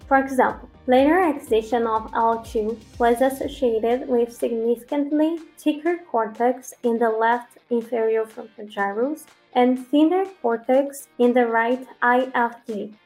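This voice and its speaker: A woman with a Brazilian accent, a podcast guest giving measured, academic answers about language research. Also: fairly high pitch, darkish tone, poor audio. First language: Brazilian Portuguese.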